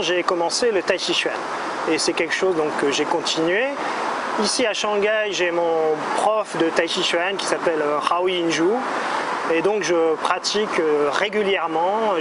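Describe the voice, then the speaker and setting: Forties male guest, unhurried and halting, high-ish voice, noisy street. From France. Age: 40s. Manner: relaxed, hesitant, many "euh" pauses. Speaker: interview guest. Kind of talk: casual street interview. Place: outdoors on a city street. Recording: street noise behind.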